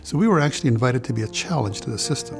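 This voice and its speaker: A man with a gravelly edge to his voice speaks reflectively in a documentary interview, pausing now and then. Music plays clearly under him. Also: mid-range voice, animated. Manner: reflective, with hesitations.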